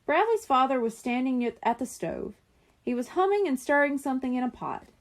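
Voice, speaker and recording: High-voiced, animated woman reading a children's story. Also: brisk. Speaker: audiobook reader. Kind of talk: expressive children's story narration.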